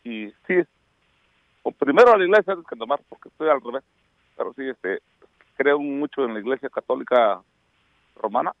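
Man, Mexican accent, native Mixtec speaker, middle-aged, gravelly, hesitant, tinny phone line. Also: medium pitch, expressive, moderate pace, long pauses, no room sound. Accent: Mexican Spanish. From Oaxaca, Mexico. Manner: reminiscing, hesitant with many fillers. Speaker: phone-in guest. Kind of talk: radio interview by phone.

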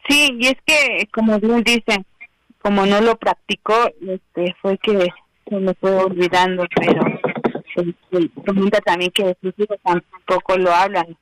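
A man hosting a radio interview in a high, bright voice. The sound is dry and close. He sums up what his guest has said and leans on frequent fillers. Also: steady tone, unhurried, dry recording, low-quality recording. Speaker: radio host.

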